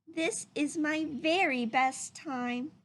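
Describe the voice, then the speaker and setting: A woman voicing a curious, anxious little boy raccoon in a storybook, with expressive questioning. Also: sits high, slow pace, voice pushed up. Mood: content. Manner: wide-eyed, expressive questioning. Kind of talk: storybook character voice.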